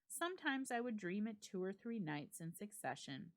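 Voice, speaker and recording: A woman's voice: medium pitch, very animated, moderate pace. A woman giving a dramatic reading of a classic ghost story, as a library staff reader.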